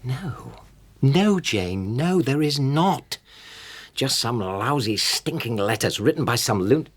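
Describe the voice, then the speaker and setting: Harassed, husky middle-aged English husband. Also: male voice, medium pitch, lively intonation, slow. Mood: distressed. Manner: exasperated, insistent. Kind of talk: naturalistic radio drama acting.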